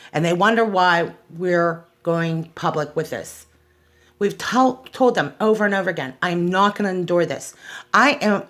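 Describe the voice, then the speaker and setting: A woman's voice: on the low side, a bit bright. Outspoken, aggrieved American woman. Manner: outspoken, aggrieved, emphatic, repeating phrases for stress. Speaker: host of a personal awareness show. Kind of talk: unscripted personal monologue, testimonial.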